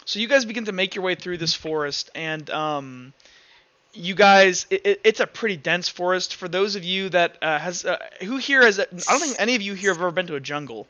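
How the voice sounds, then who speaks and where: Man, game master for a tabletop RPG, bright high voice, improvised narration, dull recording. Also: lively intonation, brisk.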